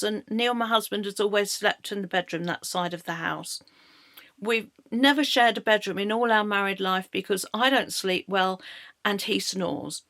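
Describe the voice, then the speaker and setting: A middle-aged British woman with a slightly croaky voice, telling her own story in a halting way as she searches for words. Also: medium pitch, a bit bright, no room sound, clean studio sound. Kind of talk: personal storytelling monologue.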